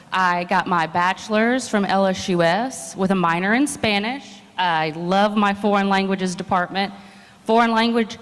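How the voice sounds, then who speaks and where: A woman running for mayor, giving a friendly campaign speech that builds into emphatic appeals to the crowd. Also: medium pitch. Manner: chatty, rising to emphatic appeals. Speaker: mayoral candidate. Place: large indoor event hall. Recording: long hall reverb.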